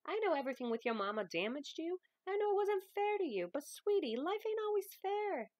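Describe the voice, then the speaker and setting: A woman's voice: extremely high pitch, big pitch swings, a little fast, a little mellow, no echo, studio quality, voice pushed up. A woman reading a novel aloud as a stern, loving grandmother, emphatic, folksy and firm. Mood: firm, tender. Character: stern, loving grandmother giving tough love.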